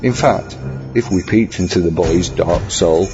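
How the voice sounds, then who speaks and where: Deep guy narrator, creepy storybook reading, measured and wordy, music behind, bit dull sounding. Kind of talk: scripted horror story narration. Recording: slightly dull audio over music.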